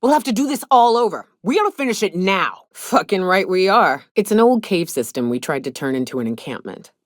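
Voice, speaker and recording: A woman playing a tough, grieving, foul-mouthed huntswoman in a space frontier video game, speaking bluntly in gruff, folksy phrasing. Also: mid-range voice, very animated, a little fast, no room sound, studio quality. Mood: urgent, angry, then grim, resolute. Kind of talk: video game character dialogue.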